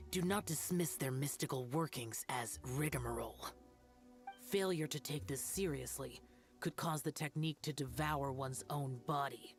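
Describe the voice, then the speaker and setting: Game role: a grave ritual master, low-voiced, formal and measured, soft music under it. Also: female voice. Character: stern ancient adept ritual master, formal.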